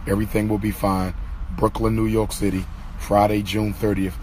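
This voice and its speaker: Hoarse, low-voiced man lecturing emphatically. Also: level tone, normal speed, darkish tone, a little hiss or hum, low-quality recording. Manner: emphatic, repeats key phrases. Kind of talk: impassioned monologue to followers.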